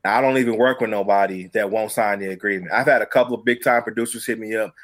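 A man's voice: on the low side, fairly even intonation, fast talker, fairly bright tone. A man with an American accent casually telling stories and giving advice in an emphatic, colloquial way as a guest musician. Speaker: musician and producer, podcast guest.

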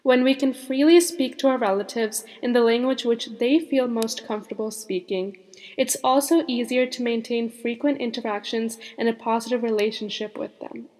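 In a room with a long reverb, a teenage young woman reads her part as a podcast co-host. Her voice is slightly high, and the delivery is scripted. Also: steady tone, moderate pace, darkish tone. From born in India, moved to the United States before kindergarten.

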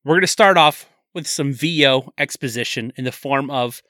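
A man's voice: mid-range voice, normal speed, bright, forceful tone, no room sound, clean studio sound. A man co-hosting a casual movie podcast, retelling the plot with easy laughs. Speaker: podcast co-host.

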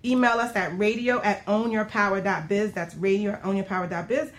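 A woman's voice: medium pitch, steady tone, a little fast. A woman hosting a radio show in a casual, chatty way, with a playful, teasing and encouraging manner.

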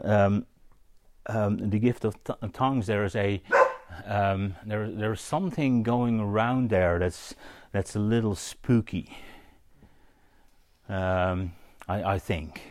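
A man giving an informal, story-led sermon as a preacher, halting and conversational, often checking in with his listeners. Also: on the low side, darkish tone, dry recording. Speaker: preacher teaching on spiritual gifts.

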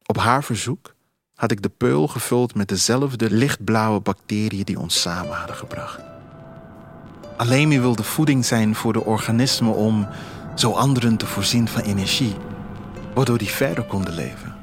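A man narrating a scripted first-person audio drama in a measured, reflective storytelling manner. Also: lowish voice. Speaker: author reading own story. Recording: music under the voice. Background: music bed.

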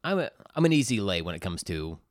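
A man chats as a podcast co-host with lively, swinging intonation. He is enthusiastic and crude in his joking, and the sound is dry and close. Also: lowish voice, fast, no echo. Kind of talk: casual comedic banter, taste-testing sodas.

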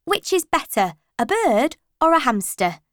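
A woman's voice: sits high, big pitch swings, a little slow, no room sound, studio quality, voice pushed up. A woman voicing cheeky, insolent teenage schoolchildren in an audiobook. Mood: curious, pert. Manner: insolent, pert.

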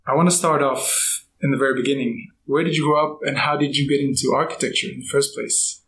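A man hosting a podcast, reading a guest introduction steadily and then asking questions with soft backchannel replies. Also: medium pitch, fairly even intonation, fairly quick, slight background noise, rough recording. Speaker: podcast host. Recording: faint music behind.

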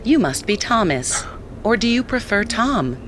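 An actress playing a polite, eager assistant app in an audio drama, with courteous, scripted customer-service delivery. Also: female voice, mid-range voice, sing-song, a little slow, fairly bright tone, soft background music. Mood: helpful, upbeat.

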